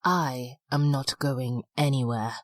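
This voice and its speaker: A woman's voice: extremely low pitch, slow pace, dry recording, clean studio sound, exaggerated voice. A woman playing an ancient, bitter stone mage in an audio drama, accusing and commanding. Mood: defiant.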